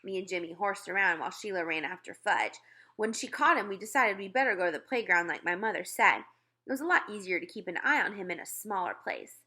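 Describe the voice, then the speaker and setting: A woman narrating a children's novel as an animated read-aloud. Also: mid-range voice, brisk. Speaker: storybook reader.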